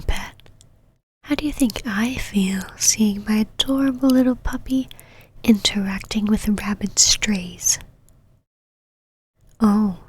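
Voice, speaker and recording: A woman's voice: medium pitch, a little mellow, husky, no echo. Whispering, possessive vampire knight, acted by a woman. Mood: jealous, commanding. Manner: whispered, slow condescending endearments and commands. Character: possessive, imperious female vampire knight, teasing and controlling. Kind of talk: ASMR roleplay monologue.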